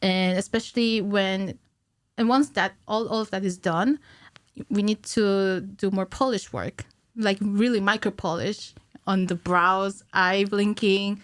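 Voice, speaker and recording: Woman explaining her craft, halting delivery. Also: mid-range voice, steady tone, no room sound. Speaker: guest, cinematic animator. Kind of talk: casual podcast interview, explaining technical work. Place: small curtained podcast studio, indoors. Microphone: boom-arm studio mic with headphones.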